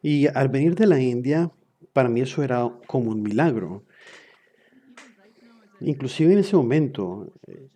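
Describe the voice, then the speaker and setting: A man's voice: medium pitch, dark tone. A man interpreting a webinar lecture into another language in real time, hesitant, with frequent fillers and self-corrections.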